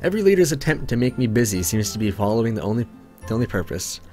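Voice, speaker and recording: A man's voice: medium pitch, very animated, fast talker, a little mellow, soft background music. A Canadian man reading a visual novel aloud, joking and commenting casually. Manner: casual, joking, self-interrupting. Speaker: let's play narrator.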